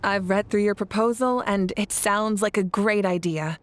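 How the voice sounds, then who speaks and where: An actress playing a composed, approachable female leader in a video game, speaking in a measured, assured way. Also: mid-range voice, close to an everyday voice. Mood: assured, friendly. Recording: music under the voice.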